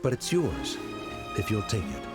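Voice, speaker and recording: A man playing a stern, reserved middle-aged scholar father in a video game, with formal, measured speech. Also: lowish voice, huge pitch swings, loud music behind the voice. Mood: awkward.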